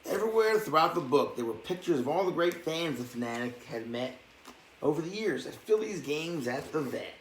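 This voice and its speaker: A man's voice: fairly high pitch, lively intonation, normal speed. A man reading a bedtime story aloud, dropping in casual asides as he goes. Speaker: storybook reader. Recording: echoey room with faint background noise.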